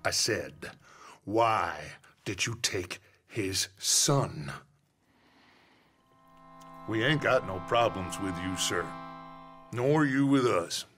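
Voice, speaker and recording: An actor playing a middle-aged outlaw gang leader who talks in a measured, silver-tongued way with a veiled threat. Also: male voice, lowish voice, animated, fairly bright tone, soft background music, exaggerated voice. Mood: menacing. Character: smooth-talking male outlaw gang leader. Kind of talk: video game cutscene acting.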